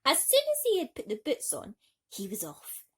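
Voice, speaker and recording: A woman's voice: high-pitched, huge pitch swings, moderate pace, a bit bright. A woman storyteller reading a bedtime story to children theatrically, speaking directly to young listeners.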